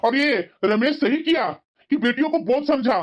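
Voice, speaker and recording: A dull, lo-fi track carries a woman acting as an irritable cartoon father who resents his daughters. The voice is fairly high, grumbling and evasive, as in a family moral story. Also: normal speed, faint music behind, natural voice. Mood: irritated.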